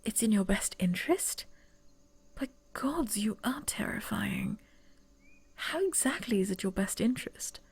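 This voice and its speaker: A woman voicing a young noblewoman who would be heir, whispering softly and stammering when flustered, in an ASMR roleplay. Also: fairly high pitch, animated, measured pace, breathy edge, heavily acted.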